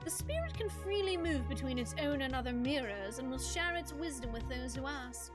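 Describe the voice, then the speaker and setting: Female game streamer, very high and lively voice, expressive chat and read-aloud narration, music underneath. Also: normal speed. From United States. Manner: animated, expressive, chatty. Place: indoors, game livestream with music behind.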